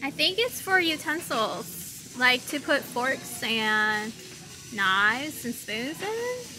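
A chatty woman from Hong Kong hosting a casual shopping vlog with an animated manner. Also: high voice, slow, low-quality recording. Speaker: vlog host. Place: large retail store. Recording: busy store background noise.

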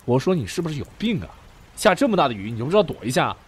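A man playing a gruff, short-tempered but secretly caring young man in a radio drama, blunt and colloquial. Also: fairly high pitch, big pitch swings, rapid-fire. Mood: irritated, worried.

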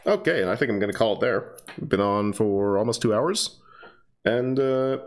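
A man's voice: on the low side, moderate pace. A young man on a headset mic reading a visual novel aloud, joking and stumbling through lines, with casual asides. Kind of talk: casual let's-play narration.

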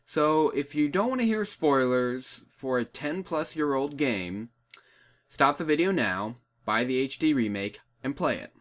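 A man's voice: mid-range voice, expressive, measured pace. A man giving a casual, scripted opinion narration as a video game reviewer. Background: background music.

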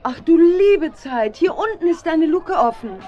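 A woman playing a brisk, friendly grown-up chaperone in a German children's radio play, matter-of-fact. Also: very high-pitched, animated, background noise. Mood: dismayed. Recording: muffled 1970s radio play recording.

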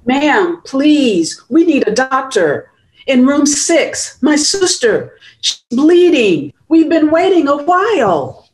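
A woman's voice: high-pitched, sing-song, slow, heavily acted. A woman playing a frantic young woman, urgent and alarmed for her sister, at a dramatic script table read. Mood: panicked.

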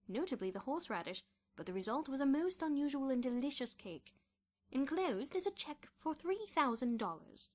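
Woman acting formal, measured lady supervisor. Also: a little high, voice pushed up. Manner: deliberate, official. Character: formal, measured female company supervisor's letter voice.

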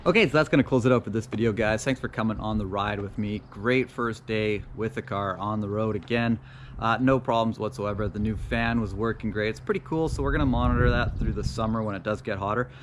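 A man's voice: lowish voice, a little fast, noisy background. Easygoing man vlogging outdoors. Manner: relaxed, upbeat, chatty with fillers. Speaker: car channel host. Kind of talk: casual vlog outro to camera. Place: outdoors on a residential driveway.

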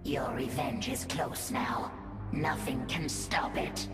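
A woman voicing a proud, ferocious alien swarm broodmother in a video game, imperious, deliberate and menacing, devoted to her queen. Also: very deep voice, fairly even intonation. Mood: grave, resolute. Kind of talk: video game character acting. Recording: echoing, reverberant, distant, over game music.

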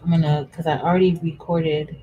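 A woman's voice: deep voice, little pitch movement, fairly quick, mellow, a little hiss or hum. A woman in her thirties hosting a casual craft livestream from home, chatting with viewers while she works. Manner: chatty, addresses viewers as y'all, frequent laughs. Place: indoors at a home craft table.

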